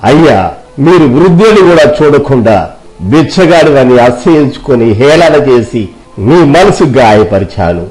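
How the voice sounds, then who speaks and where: A man's voice: on the high side, expressive, normal speed, a little mellow, natural voice, low-quality recording. A man voicing a chastened, wealthy moneylender and husband in a moral story, speaking in a solemn, confessional manner. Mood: apologetic.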